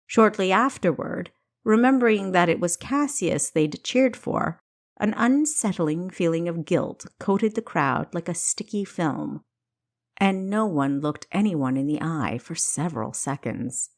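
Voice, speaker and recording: A woman narrates her own story as an audiobook. Her voice sits a little low and moves expressively up and down, and she takes her time with the scripted prose. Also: animated, unhurried, creaky.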